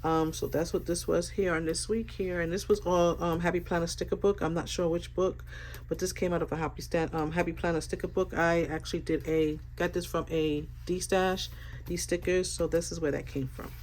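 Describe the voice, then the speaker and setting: Woman vlogger, stuffy sinus voice, relaxed rambling talk, faint background hiss. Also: on the low side, normal speed. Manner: relaxed, rambling, many fillers. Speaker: planner vlogger. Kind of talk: casual planner flip-through.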